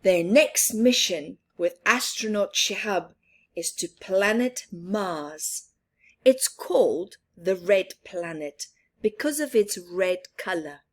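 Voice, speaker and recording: A woman reading a children's picture book aloud, expressive, with soft laughs and whispered asides. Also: fairly low pitch. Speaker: storyteller.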